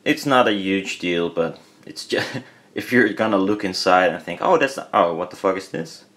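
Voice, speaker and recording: Low-pitched Dutch-accented man, rambling. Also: animated, normal speed, fairly bright tone. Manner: hesitant, rambling, self-correcting. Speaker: hobbyist presenter. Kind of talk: casual hands-on product teardown commentary.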